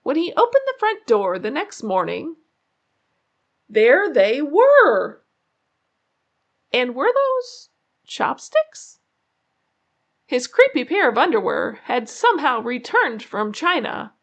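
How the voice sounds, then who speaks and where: A woman reading a children's story aloud in an expressive way, drawing out key words for suspense. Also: high-pitched, slow pace, pauses often, muffled recording. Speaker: children's book narrator. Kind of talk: animated read-aloud storytelling.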